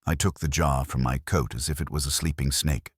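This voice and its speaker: A man reading a scripted first-person detective story in a wry, deadpan way. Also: a bass voice, brisk, breathy edge, dry recording, studio quality.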